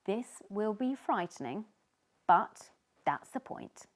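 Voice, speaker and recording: Bossy, helpful tiny storybook fish, played by a woman: encouraging, highly animated delivery. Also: slow pace. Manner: encouraging, coaxing. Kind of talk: children's storybook character voice.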